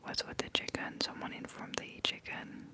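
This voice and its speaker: A woman's voice: normal speed, sharp tone. A Dutch woman with an American-sounding accent in English, whispering breathily in a casual, chatty, playful way as she hosts an ASMR livestream and answers chat. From Netherlands. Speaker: livestream host, ASMR creator.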